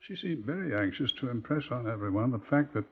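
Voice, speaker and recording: An actor playing a shrewd, ruminative police superintendent with a pompously droll manner, in an old radio crime drama. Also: male voice, mid-range voice, lively intonation, fast, husky. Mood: musing, pompous. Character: shrewd, musing senior police superintendent, fond of quotations. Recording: muffled, noisy 1950s radio recording.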